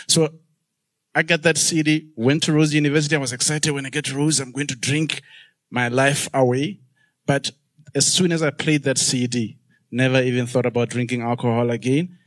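A man's voice: medium pitch, no echo. A man from Botswana, an audience member, telling a grateful, heartfelt story of his faith at a Q&A. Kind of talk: personal testimony.

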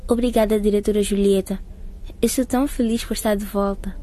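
A woman's voice: medium pitch, fairly even intonation, a little fast, dark tone, close to an everyday voice. A woman playing an earnest, anxious pregnant teenage schoolgirl in a radio drama, with a deferential, emotional manner. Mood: polite, eager.

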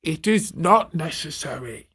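A woman voicing a large, loyal male dog in a storybook reading, speaking calmly and steadily in a lowered voice. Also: a little slow, slightly rough, full character voice. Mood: calm, kindly.

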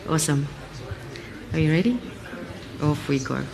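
A woman's voice: low-pitched, expressive, a little slow, creaky, a crowd in the background. A woman, upbeat and encouraging as she hosts a live audience session. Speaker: presenter, audience warm-up leader.

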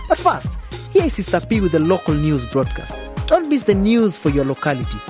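An actor playing a cocky, upbeat young radio DJ in a radio drama, talking in a showy, enthusiastic way over loud hip hop music. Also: male voice, high-pitched, wildly swooping pitch, brisk. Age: young adult. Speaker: radio presenter character. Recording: loud music bed under voice.

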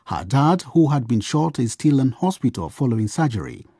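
A man narrates a scripted radio drama with expressive storytelling. His voice is husky and mellow with animated pitch, recorded very dry. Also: moderate pace, no room sound.

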